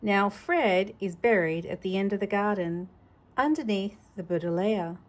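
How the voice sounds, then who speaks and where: A woman reads a picture book aloud at a very unhurried pace, in a mid-pitched voice. She is gentle and conversational, drifting between the text and her own informal asides to a child. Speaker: storybook reader.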